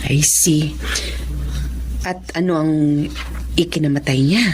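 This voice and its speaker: A woman's voice: low-pitched, fairly even intonation, a little mellow, a little hiss or hum. An actress with a Filipino accent playing a frank but understanding woman doctor counseling a patient in an audio drama. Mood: calm, advising. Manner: measured, blunt but understanding. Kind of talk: Filipino radio-style drama acting.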